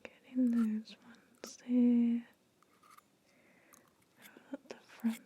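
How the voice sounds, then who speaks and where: A woman playing a soothing, sly vampire dentist in an ASMR roleplay, speaking gently and deliberately in a breathy whisper. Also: a little high, level tone, highs cut off, heavily acted. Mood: soothing, focused. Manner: slow, gentle, deliberate, softly reassuring.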